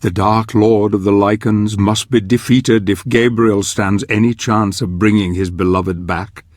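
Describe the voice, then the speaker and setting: Male actor as solemn, scheming older mentor narrating dark fantasy game; unhurried, measured, close dry sound. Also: on the low side, fairly even intonation, dry recording, natural voice. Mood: solemn, ominous.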